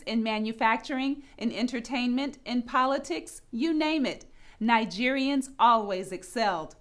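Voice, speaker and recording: A woman giving scripted narration for a news feature in a deliberate, upbeat delivery. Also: a little high, fairly bright tone.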